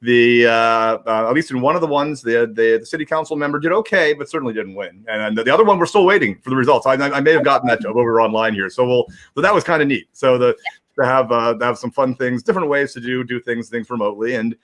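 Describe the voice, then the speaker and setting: Fast-talking man hosting casually. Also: medium pitch, fairly bright tone. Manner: rambling, repeats words. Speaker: host of a livestream. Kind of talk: casual chat.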